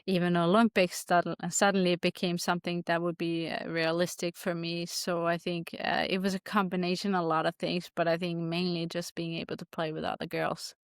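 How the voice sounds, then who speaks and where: A woman's voice: on the low side, level tone, a little fast, hardly any pauses, smooth, no echo, clean studio sound. A woman from Denmark, a hockey player as a guest, answering interview questions thoughtfully with many restarts.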